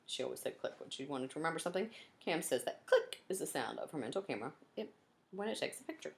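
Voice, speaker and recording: Female storybook reader, teacherly, lower register, very animated intonation for a young audience. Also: quick pace, vocal fry. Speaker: teacher reading aloud to children. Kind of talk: expressive storybook narration.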